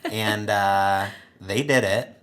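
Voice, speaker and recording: A man co-hosting a comedy podcast, giving a casual recap with dry, halting storytelling. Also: lowish voice, fairly even intonation, a bit bright. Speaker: podcast co-host.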